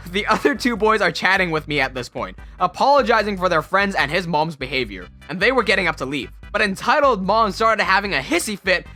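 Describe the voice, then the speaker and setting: Lively narrator with wry asides, very expressive pitch over faint music. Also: a little fast, few pauses. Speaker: story narrator. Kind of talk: reading online stories with commentary.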